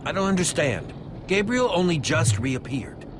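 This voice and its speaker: An actor playing a brooding, defensive schemer in a video game, speaking with dramatic emphasis. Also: male voice, a little high, very animated, normal speed, noisy background. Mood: grave. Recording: loud music behind the voice.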